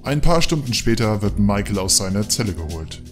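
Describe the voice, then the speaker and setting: A man with a slightly low voice narrates an audio drama. His delivery is scripted storytelling, set over clear background music. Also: very animated, fairly quick.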